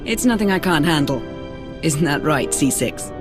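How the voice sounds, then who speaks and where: A woman's voice: deep voice, lively intonation, normal speed. An actress playing a self-assured, composed woman, a field agent with precise, formal speech in a video game. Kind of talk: video game cutscene acting. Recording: loud music under dialogue.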